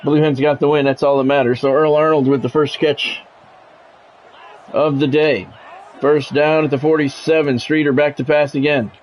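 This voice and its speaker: Higher-pitched man calling football, slightly muffled. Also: flat delivery, moderate pace. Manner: practiced sportscaster delivery with casual asides. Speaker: video game streamer and play-by-play announcer. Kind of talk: live football game commentary mixed with casual chat to viewers.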